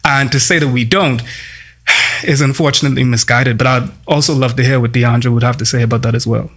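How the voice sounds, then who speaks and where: A young man speaking as a panel guest in a roundtable discussion, earnest and deliberate with filler pauses. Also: mid-range voice, steady tone, a bit bright. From Bahamas.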